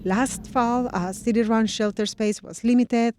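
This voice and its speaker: A woman news reporter narrating a scripted radio feature in a measured, clear way. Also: a little high, steady tone, a little mellow, slight background noise.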